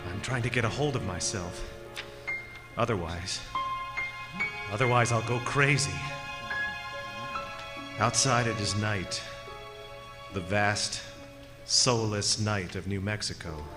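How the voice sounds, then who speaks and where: An actor playing a desperate, haunted young son on the road in an old-time radio drama, intense and theatrical. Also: male voice, on the low side, slow pace, long pauses, long reverb tail, loud music behind the voice. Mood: haunted, brooding. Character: desperate haunted male traveler, son telling his eerie story.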